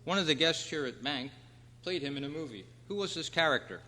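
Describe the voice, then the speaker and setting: A man hosting a trivia quiz at a club meeting, reading out questions in a measured, announcing way. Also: on the high side, lively intonation, bright, forceful tone. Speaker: quiz host. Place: hall at a convention club meeting. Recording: reverberant hall, clear background noise and hum.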